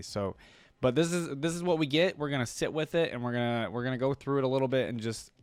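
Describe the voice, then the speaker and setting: A man hosts a casual reaction video and rambles eagerly with plenty of "like" and "um". His voice sits at a middling pitch and sounds dry and close to the mic. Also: no echo. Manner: enthusiastic, rambling, many fillers.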